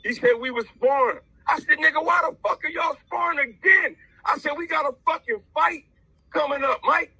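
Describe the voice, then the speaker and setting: A man's voice: very high voice, normal speed, sharp tone, no echo, poor audio. A man telling a story casually in the first person, agitated and blunt, with heavy swearing. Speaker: interviewee, father of a boxer.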